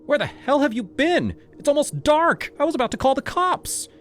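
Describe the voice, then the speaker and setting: A man's voice: wildly swooping pitch, moderate pace, creaky, a little mellow, faint music behind, full character voice. A man voicing a frantic middle-aged mother who shrieks and panics. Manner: shrieking, high-strung.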